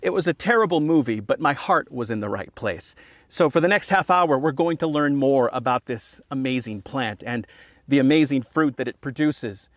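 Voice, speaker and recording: A man's voice: medium pitch, normal speed. A man in his forties with an American accent, delivering scripted narration as the host of a regional TV feature. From southern New Mexico, United States.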